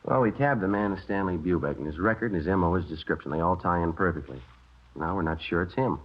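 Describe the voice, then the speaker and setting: A very deep-voiced American detective speaks in clipped, deadpan lines. He is weary and methodical, as in an old radio crime drama, on a dull recording. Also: fast talker. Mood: resigned. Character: terse, weary, methodical male police detective. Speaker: lead actor and narrator.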